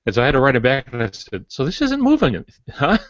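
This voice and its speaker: A man's voice: medium pitch, huge pitch swings, fast, dry recording. A man chatting casually on a podcast as a panelist who teaches writing, telling a story from experience. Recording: dry but dull podcast audio.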